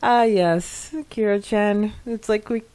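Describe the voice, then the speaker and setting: A woman co-hosting a podcast in casual, chatty style, reading comments with hesitant pauses and giggles. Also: mid-range voice, mellow. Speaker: podcast co-host.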